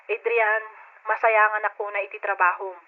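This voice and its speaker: An actress playing a concerned, caring older sister in an old radio drama, speaking in a solicitous, emotional manner. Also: female voice, mid-range voice, flat delivery, normal speed, natural voice. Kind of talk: old-time radio drama acting in Ilocano. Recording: thin phone-like radio drama sound.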